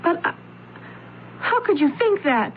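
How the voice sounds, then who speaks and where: A woman's voice: very high-pitched, very animated, unhurried, heavily acted. A woman playing an anxious, accusing young heiress, emotional and insistent, in an old-time radio drama. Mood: shaken, pleading. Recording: thin, noisy phone-like vintage radio recording.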